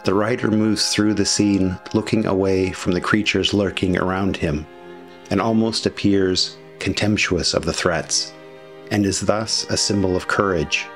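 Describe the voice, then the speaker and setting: A man with a deep, low-set voice narrates a history documentary. His delivery is scripted, formal and measured, with passages of commentary. Quiet music plays underneath him. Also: fairly even intonation.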